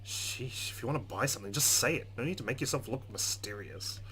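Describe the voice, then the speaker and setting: A man's voice: medium pitch, quick pace, bright, forceful tone, slight background noise, poor audio. A man hosting a let's play, reading game narration expressively with casual, playful asides. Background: game music.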